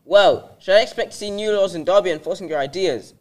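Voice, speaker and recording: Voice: mid-range voice, fast talker, close to an everyday voice. A young adult playing a probing interviewer in an amateur radio drama. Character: probing interviewer hosting a climate debate.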